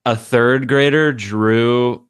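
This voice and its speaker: A man's voice: medium pitch, fairly even intonation, drawn-out words, clear, resonant tone, no room sound. A man hosting a podcast in casual comedic banter, with slangy, campy, sweary phrasing. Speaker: podcast host.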